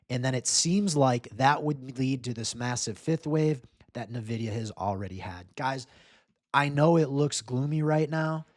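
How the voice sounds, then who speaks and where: A man's voice: mid-range voice, dry recording. Man, casual chart commentary, dry sound. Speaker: host, crypto market analyst. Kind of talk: casual chart commentary to camera or screen share.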